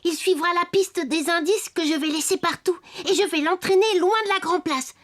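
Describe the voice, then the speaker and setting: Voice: very high-pitched, moderate pace. An actor voicing a cheerful, resourceful little wooden toy boy in a children's cartoon, earnest and eager. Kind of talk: animated children's cartoon dub. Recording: loud music behind the voice.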